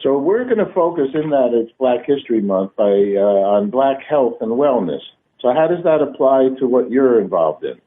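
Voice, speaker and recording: A man with a middle-pitched voice hosts a casual radio interview. He is chatty and jokey and often trips over his words, filling pauses with um and uh. The sound is thin and narrow, like a phone line. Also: animated, slight background noise. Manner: chatty, jokey, stumbling with many fillers. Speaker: radio show host.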